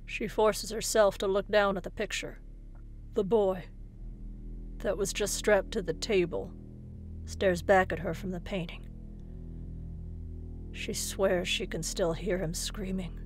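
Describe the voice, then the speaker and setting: A woman narrating a scripted horror story in a suspenseful manner, often hushed, with dramatic pauses. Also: mid-range voice, fairly even intonation. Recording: music bed behind the voice.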